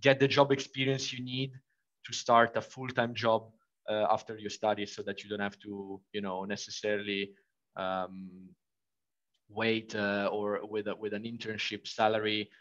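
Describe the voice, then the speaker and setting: A man's voice: lowish voice, level tone, moderate pace, a bit bright, no room sound, lo-fi sound. A young man from Italy with an Italian accent, answering interview questions casually and hesitantly, with many fillers and restarts. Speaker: former international student.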